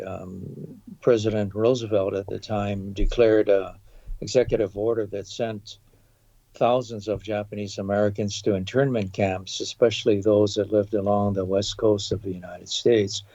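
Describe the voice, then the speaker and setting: A man in his seventies from Hawaii, a podcast guest recalling his life in a measured way, pausing often with um and uh. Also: fairly low pitch, steady tone, creaky, warm tone, no echo. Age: 70s. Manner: measured, with frequent um and uh pauses. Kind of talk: thoughtful reminiscing interview. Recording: dull, lo-fi dry recording.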